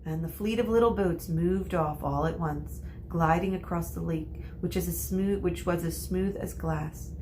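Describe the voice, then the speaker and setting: A woman teacher reading a children's novel aloud in a deliberate, explanatory way. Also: fairly low pitch, dark tone, a little hiss or hum. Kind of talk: storybook read-aloud.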